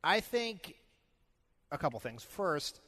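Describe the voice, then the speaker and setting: Male political commentator, higher-than-average pitch, emphatic broken phrasing, reverberant live venue. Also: sing-song, slow pace, sharp tone. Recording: echoey live hall.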